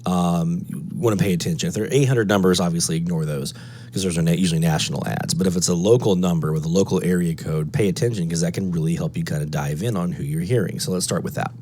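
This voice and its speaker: A man's voice: deep voice, fast, dark tone. A man presenting a casual screen-walkthrough tutorial, thinking aloud step by step. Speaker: presenter of a radio DXing tutorial.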